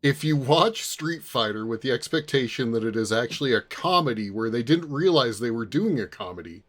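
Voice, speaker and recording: A man chatting casually on a podcast panel, halting and repeating words as he goes. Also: mid-range voice, expressive, a bit bright.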